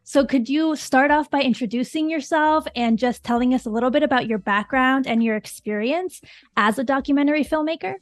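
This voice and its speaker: A woman hosts a live online stream, greeting viewers and calling out names from the chat with open enthusiasm. Her voice sits a little high, and it is recorded close and dry with no room sound. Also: brisk, no echo, faint music behind. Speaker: livestream host. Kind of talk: upbeat livestream hosting.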